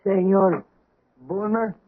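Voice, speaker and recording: A shy old immigrant laborer, hesitant and halting, eager to please. The voice sits high and thin. It comes through a tinny, lo-fi old radio broadcast. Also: male voice, level tone. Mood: timid. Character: timid old immigrant kitchen worker. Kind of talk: 1970s radio drama acting.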